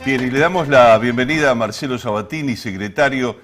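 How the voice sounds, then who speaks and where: A man's voice: mid-range voice, a little fast, a bit bright. An Argentine man presents a television talk show in a mid-range voice. He explains at length in a conversational way, often rephrasing his thoughts. Faint music plays behind him. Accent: Argentine Spanish. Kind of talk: conversational programme introduction to camera.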